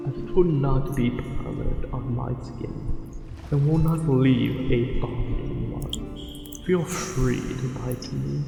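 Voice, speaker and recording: A courtly, seductive merman, acted by a man, speaks slowly in a gravelly, mellow voice, lovestruck and possessive in his formal teasing. His words ring through a heavy cavernous echo in this ASMR roleplay, with music underneath. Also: mid-range voice, steady tone. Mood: indulgent, restrained. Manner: formal, archaic, tenderly teasing phrasing.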